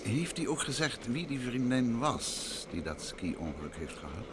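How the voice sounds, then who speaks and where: An actor playing a calm, attentive middle-aged husband and gentleman detective in an old Dutch radio play. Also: a little slow. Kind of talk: mid-20th-century Dutch radio play acting. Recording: old radio recording, clear train rumble noise.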